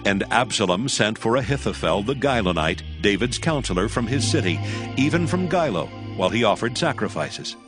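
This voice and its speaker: A male narrator reads scripture from a script in a slightly low voice. Music is clearly present behind the narration. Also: big pitch swings, brisk.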